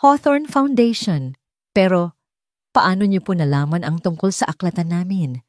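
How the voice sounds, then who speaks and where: A woman's voice: fairly low pitch, animated, moderate pace, no room sound, studio quality, lightly acted. Woman voicing an earnest, proud young Filipina heroine. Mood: excited, surprised. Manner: emotional, earnest.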